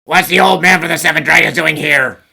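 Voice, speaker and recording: A performer voicing a cynical, sarcastic male talking dog in an anime dub, with a gruff, sardonic manner. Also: mid-range voice, a little fast, sharp tone, exaggerated voice.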